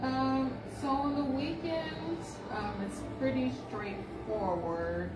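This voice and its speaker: A woman co-hosting a casual show, speaking softly and a little hesitantly. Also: sits high, slow, loud music behind the voice. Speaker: co-host. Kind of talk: casual chat. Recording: echoey room, mic far off, noisy.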